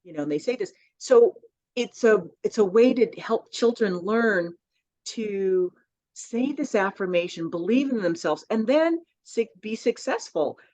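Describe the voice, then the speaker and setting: A woman author as a podcast guest, telling stories in a lively way with many fillers. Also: mid-range voice, a little slow. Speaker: children's book author and guest. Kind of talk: conversational podcast interview. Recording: dull lo-fi audio.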